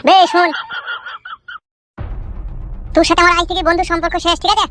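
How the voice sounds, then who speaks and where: A man's voice: very high voice, flat delivery, fairly quick, sharp tone, faint music behind. A man voicing a hot-headed, foul-mouthed young village man in a comic cartoon, in slangy rural West Bengal dialect. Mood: indignant, hurt. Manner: brash, slangy bickering.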